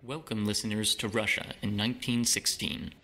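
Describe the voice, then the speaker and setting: A man's voice: on the low side, flat delivery, normal speed, pushed and bright. Scripted male trailer narrator over music. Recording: music-backed podcast promo.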